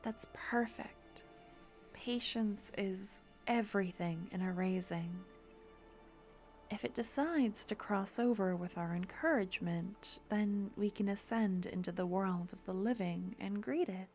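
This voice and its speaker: A woman voicing a tender young necromancer girlfriend, talking in a soft whisper in a fantasy ASMR roleplay. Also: mid-range voice, unhurried, soft background music, natural voice.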